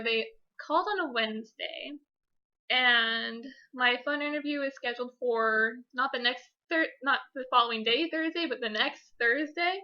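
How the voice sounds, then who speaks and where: A woman's voice: on the high side, lo-fi sound. A young adult woman telling a personal story casually as a vlogger, chatty, giggly and self-deprecating.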